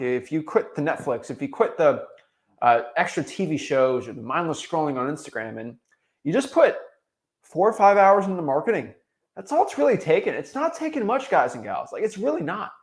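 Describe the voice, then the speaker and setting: A young man from Florida, an energetic coach who pushes hard in a fairly high voice. He stumbles over his words, restarts often, and checks in with "right?" after nearly every point. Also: fairly quick. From Florida, USA. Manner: energetic, repetitive tag "right?", frequent restarts. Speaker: real estate wholesaling coach. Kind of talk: motivational talk to camera.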